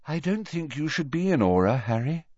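A man's voice: fairly high pitch, big pitch swings, normal speed, a little mellow, no room sound, highs cut off, voice pushed up. A man voicing a dreamy, eccentric teenage girl in an audiobook, earnest and matter-of-fact.

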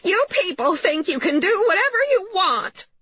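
A woman's voice: very high-pitched, sing-song, no echo, dull audio, voice pushed up, exaggerated voice. A woman voicing an older mother in an audiobook, frantic and protective, wailing and crying out in protest. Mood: outraged. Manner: shouting, pleading, drawn-out cries.

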